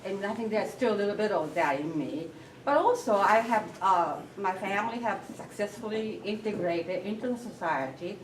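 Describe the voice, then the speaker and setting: A woman who grew up in Japan, speaking thoughtfully with frequent pauses in a group discussion. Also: medium pitch, expressive. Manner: thoughtful, pausing often with fillers. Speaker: forum participant. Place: meeting room with a group. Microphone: room mic at a distance. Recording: echoey room, distant mic, faint noise.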